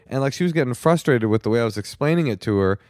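A man's voice: medium pitch, expressive, very fast, a little mellow, dry recording, studio quality. An American man co-hosting a comedy podcast, chatting casually in a wry, joking way. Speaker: podcast co-host.

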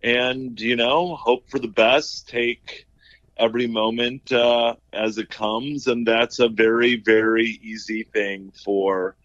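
Guy guest on a podcast, bright forceful voice, dull lofi mic, repeats himself, joking around. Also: medium pitch, little pitch movement, takes it slowly, no room sound. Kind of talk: casual comic chat. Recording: dull, slightly lo-fi microphone sound.

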